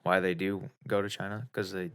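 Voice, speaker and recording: A fairly low-voiced American man co-hosting a casual podcast. He is blunt and crude, dismisses things flatly, and laughs easily. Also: a little fast, clear, resonant tone. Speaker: podcast co-host. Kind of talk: casual chat.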